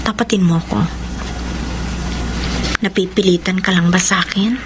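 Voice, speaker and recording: A woman's voice: mid-range voice, steady tone, a little slow, noisy background, close to an everyday voice. A woman acting an earnest, worried young girlfriend in a radio drama, sincere and emotive as she mixes Tagalog and English. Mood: tender, concerned. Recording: radio drama with music underneath. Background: dramatic background music.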